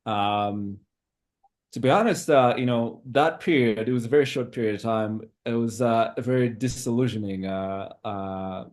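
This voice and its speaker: A man from Zimbabwe in his thirties, a podcast guest who talks in a hesitant, reflective way with many pauses. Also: medium pitch, darkish tone. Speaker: interview guest. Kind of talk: casual interview talk.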